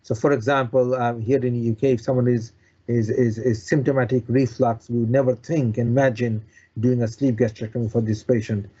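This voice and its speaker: Guy from bangladesh, doctor explaining stuff in an interview, soft dark tone, keeps restarting words, lo-fi sound. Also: medium pitch, flat delivery, husky. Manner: halting, repeats words and restarts often. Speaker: consultant bariatric surgeon, interview guest.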